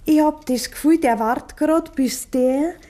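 An anxious Bavarian village wife probes gravely and insistently. Her voice sits fairly high but has a mellow, rounded tone, acted in the style of 1950s dialect radio drama. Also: unhurried. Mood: uneasy.

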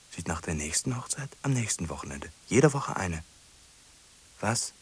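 An actor playing a solitary middle-aged man in a radio drama, ruminating in short questioning fragments with a husky, breathy voice. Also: mid-range voice. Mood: agitated, incredulous. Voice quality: husky, breathy, often sinking to a whisper. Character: solitary man fixated on a lonely woman, musing.

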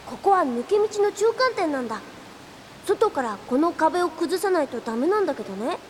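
An excitable little boy speaks in a very high, lively, boyish voice, eager and a bit whiny. The audio drama sidekick sounds plucky yet timid, in long reverb. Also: a little fast, slight background noise. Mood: eager, helpful. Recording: long reverb with faint music.

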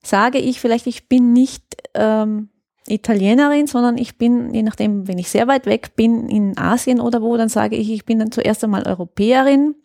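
A woman's voice: a little high, normal speed, creaky, mellow, dry recording, clean studio sound. A woman explains things thoughtfully in a conversational interview, often searching for words. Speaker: interview guest, youth worker.

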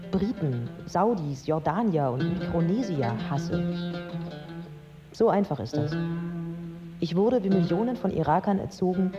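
An actress playing a proud, angry yet compassionate Iraqi woman in her twenties, in a measured radio drama monologue. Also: lowish voice. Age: 20s. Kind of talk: literary first-person radio drama monologue. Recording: dull sound with music underneath.

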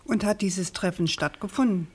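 An actress playing a persistent, sceptical journalist in a radio crime drama, speaking in a probing, deliberate and emphatic way. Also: female voice, mid-range voice, breathy edge, close to an everyday voice. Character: persistent, sceptical female journalist questioning a prosecutor.

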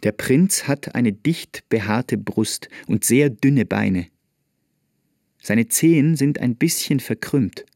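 A man's voice: mid-range voice, expressive, slow pace, darkish tone, no room sound. A man narrating a literary radio play, in a dramatic delivery.

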